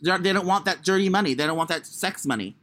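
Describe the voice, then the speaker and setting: A man with a high voice co-hosting a casual comedy podcast, crude and self-deprecating in his humour. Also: quick pace, bright, forceful tone. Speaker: podcast co-host. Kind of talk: casual comic chat.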